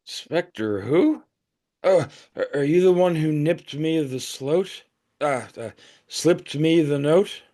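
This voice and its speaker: Actor as stammering drunk man, higher-pitched voice. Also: lively intonation, slow pace, warm tone. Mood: groggy, confused. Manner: stammering, slurred, muddled. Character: woozy, drunk, anxious male newspaperman. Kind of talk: audio play acting.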